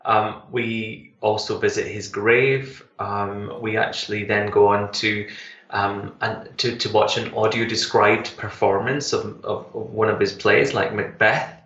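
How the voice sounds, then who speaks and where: A man's voice: lowish voice, steady tone, unhurried, fairly bright tone, roomy sound, dull audio. A Scottish man from Glasgow, a tour manager talking enthusiastically in a casual interview, with many fillers and restarts. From Glasgow, Scotland.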